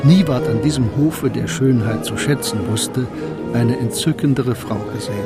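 A man's voice: mid-range voice, normal speed, over loud music, natural voice. A man narrating a historical court tale in a radio drama, as a composed literary storyteller.

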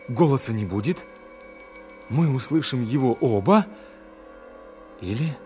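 An actor playing an anxious young golfer who fears for his sanity, halting and repeating words in an audio drama. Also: mid-range voice, natural voice. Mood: agitated, reasoning. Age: young adult. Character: anxious young golfer fearing he is going mad.

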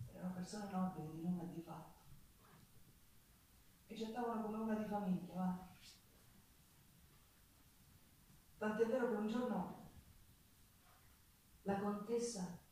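An actress playing a poor, resilient Sicilian ex-prisoner who tells her story in a theatrical monologue, with a Sicilian accent and a slow, dramatic delivery. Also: on the low side, slight background noise, lightly acted. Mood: grieving, then grateful. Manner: slow, dramatic, pausing, repeating phrases. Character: Sicilian woman, poor ex-prisoner singer, proud, wounded, resilient. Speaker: stage actress. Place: theatre stage, live performance. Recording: echoey theatre, distant microphone.

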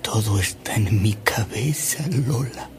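An actor playing a devout, earnest older man in a Mexican radio drama, speaking solemnly and deliberately with dramatic pauses. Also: lowish voice, darkish tone, soft background music. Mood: solemn, reverent. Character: frail, devout older man, a converted skeptic, reverent and earnest.

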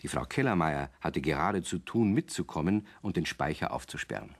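A man as the narrator of a children's radio play, reading scripted story narration in an unhurried way. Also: very deep voice, expressive, exaggerated voice. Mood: calm.

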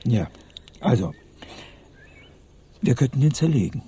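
An older actor playing a blunt, grumpy old conspirator in a radio crime comedy, with a husky, raspy voice. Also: male voice, mid-range voice, big pitch swings, measured pace, dark tone, full character voice. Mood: blunt scheming. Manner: blunt, gruff, dismissive.

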